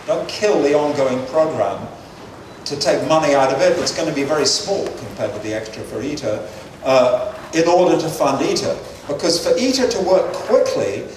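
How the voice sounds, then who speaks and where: Older man answering questions in an echoey hall. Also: on the high side. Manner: measured, earnest, with frequent fillers. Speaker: guest speaker answering audience questions. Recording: echoey hall, distant mic, faint noise.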